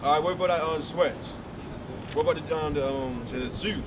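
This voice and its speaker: A man's voice: fairly high pitch, brisk. A man preaching outdoors on a city street, emphatic and firing questions at his listener. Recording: distant mic with loud traffic noise.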